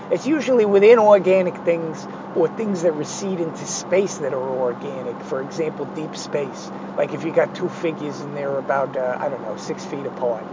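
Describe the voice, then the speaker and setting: A man with a hoarse, failing voice talking through an art lesson, digressive and hesitant with many fillers and sardonic asides. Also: on the high side, dull audio, noisy background. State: hoarse, losing his voice. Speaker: art instructor. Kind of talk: rambling spoken art lesson.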